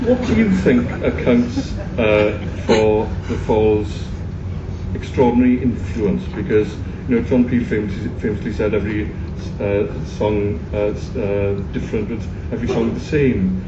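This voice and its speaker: A man's voice: fairly low pitch, moderate pace. A man interviewing a guest, hesitant, with many restarts and fillers. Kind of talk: radio-style interview. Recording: echoey, distant, muffled, loud room noise.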